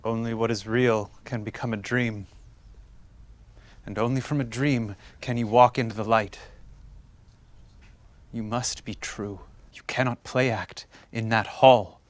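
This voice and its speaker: A man acting on stage as a grave, commanding figure, speaking in a solemn and measured way. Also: on the low side, steady tone, dry recording, close to an everyday voice. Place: theatre stage, indoors.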